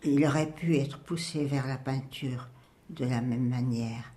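A woman's voice: extremely low pitch, fairly even intonation, a little slow, mellow. A very old woman from France, in her eighties or older, reminiscing in an interview. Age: 80s or older. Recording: noisy on-location interview with room ambience.

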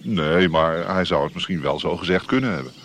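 An actor playing a wry, worldly court musician in a radio drama, speaking in a laconic, knowing manner. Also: male voice, low-pitched, a little fast, a little hiss or hum. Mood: dryly knowing. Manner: laconic, dry, expressive.

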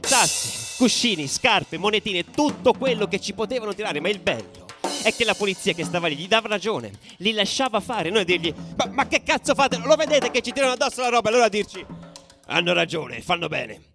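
Actor as a volatile football ultra, animated delivery. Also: male voice, sits high, a little fast, music in the background. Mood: heated, excited. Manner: colloquial, foul-mouthed, volatile, swinging from hushed to shouted. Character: fiery male football ultra recounting street clashes. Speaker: actor narrating a radio theatre monologue. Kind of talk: dramatic first-person storytelling, radio theatre.

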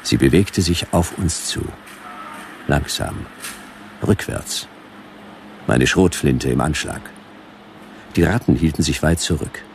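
A man's voice: rumbling low, slow pace, gravelly, pauses often, slight background noise, full character voice. A man playing a wry, hard-boiled detective who narrates in terse, ironic sentences in a sci-fi radio drama. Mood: watchful, dry.

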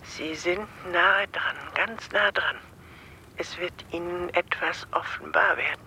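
A performer playing a cryptic, foreboding woman caller like a seer, deliberate and ominous, in a radio drama. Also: medium pitch, steady tone, creaky, bright, forceful tone, a little hiss or hum, heavily acted, rough recording. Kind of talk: naturalistic German radio drama acting.

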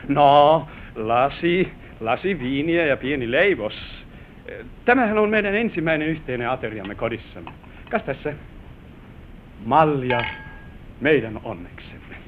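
An actor playing an affectionate, proud young newlywed husband in an old Finnish radio play, with a gallant, expressive stage delivery. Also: male voice, close to an everyday voice. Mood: tender, festive. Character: affectionate newlywed husband proudly showing his bride the family manor. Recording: narrow-band, hissy vintage radio recording with rumble.

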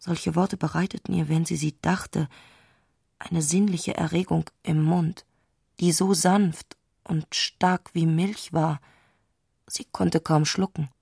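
Eine Frau spricht in einem literarischen Hörspiel die introspektive Erzählerin, die mit bedachten Pausen die Gedanken einer aufgewühlten Frau schildert. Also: female voice, sits low, steady tone, vocal fry, darkish tone, husky, no echo, clean studio sound, heavily acted. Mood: intense, dreamy. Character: intimate, introspective female narrator of a troubled woman's thoughts. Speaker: narrator in a literary radio play. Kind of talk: literary radio drama narration.

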